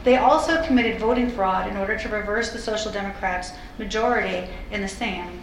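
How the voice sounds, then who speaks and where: Female lecturer, mid-pitched and measured, reading prepared material articulately; roomy echo, faint noise behind. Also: gravelly. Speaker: lecturer presenting a historical talk. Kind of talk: scripted history lecture, reading quotations.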